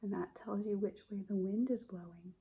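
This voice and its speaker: A woman kindergarten teacher reading a picture book aloud to young children in a simple, expressive way, speaking to them directly. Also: mid-range voice, normal speed, poor audio. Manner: addresses children directly with questions and prompts.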